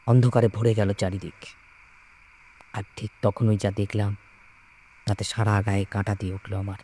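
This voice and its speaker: A man narrating a scripted ghost story in the first person, in a dramatic, expressive way. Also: on the low side, a little hiss or hum.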